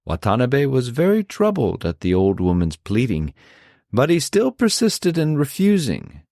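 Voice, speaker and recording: A man's voice: mid-range voice, wildly swooping pitch, a little slow, darkish tone, no room sound, clean studio sound. A man reading a fairy tale aloud as a storyteller, with dramatic scripted narration.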